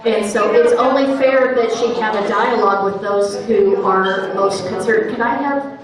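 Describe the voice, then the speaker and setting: A woman's voice: mid-range voice, unhurried. A woman chairing a town hall meeting, calling on speakers and answering firmly but sympathetically. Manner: firm but conciliatory, calls on speakers. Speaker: board chair leading the meeting. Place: large echoey meeting room. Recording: echoey room, distant mic, murmuring crowd.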